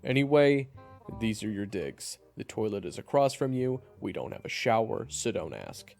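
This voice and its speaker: A man acts out a brash monster hunter from a fantasy audio roleplay. He is impatient and sarcastic, with a gravelly, lively delivery. Underneath the abrasive bluster he is grudgingly protective. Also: on the low side, normal speed, faint music behind, voice pushed up. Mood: curt, dismissive. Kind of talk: audio roleplay acting addressed to the listener.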